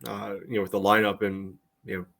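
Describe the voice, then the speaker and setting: A man's voice: lowish voice, little pitch movement, brisk, gravelly, fairly bright tone. A man co-hosting a sports podcast, giving casual analysis in a hesitant, loosely phrased way full of "you know".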